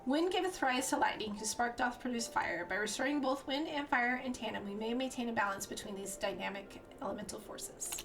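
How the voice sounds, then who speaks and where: A woman's voice: fairly high pitch, a little fast, hardly any pauses, close to an everyday voice. A woman reading as a learned male elf scholar who speaks in a formal, old-fashioned way. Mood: solemn. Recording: game music behind voice.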